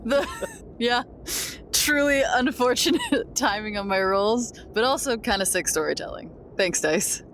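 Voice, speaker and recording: A woman's voice: a little high, measured pace, a bit bright, slight background noise. A woman chatting casually as a player in a tabletop game.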